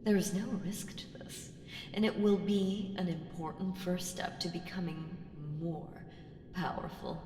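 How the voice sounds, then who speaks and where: Slow, echoing ancient evil woman voiced by an actress. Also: fairly low pitch, steady tone, over music, natural voice. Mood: coaxing. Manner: commanding, seductive, deliberate, breaking into whispers. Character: ancient evil female entity, coaxing, condescending, menacingly patient. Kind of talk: ASMR audio roleplay. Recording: roomy long reverb with clear background noise.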